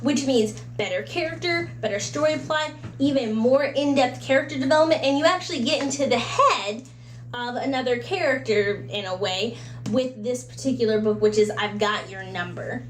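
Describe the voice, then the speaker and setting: A woman's voice: a little high, moderate pace. A woman giving casual, chatty book recommendations in a conversational way, slipping into little acted-out asides. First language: English. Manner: conversational, acts out little imagined quotes and asides. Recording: roomy echo with faint background hum.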